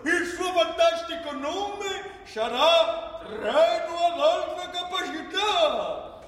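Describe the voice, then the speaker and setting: Casting: strident political fanatic for satirical radio theatre, drawn-out high shouting, distant from the mic in heavy reverb. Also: male voice, full character voice. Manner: shouting, haranguing, stretching out slogans. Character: strident, pompous male public-works zealot, angrily insistent. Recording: very echoey hall, far from microphone.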